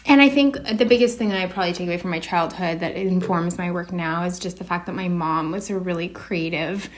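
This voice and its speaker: A woman's voice: lowish voice, fairly quick. A woman with a Canadian accent giving casual, unscripted interview answers, a bit hesitant as she thinks aloud. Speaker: artist being interviewed.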